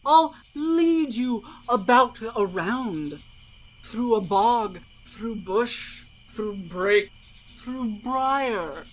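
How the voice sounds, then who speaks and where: Woman playing a slow, mischievous shapeshifting sprite. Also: on the high side, slight background noise, poor audio. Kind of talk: theatrical Shakespeare reading. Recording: music behind the voice.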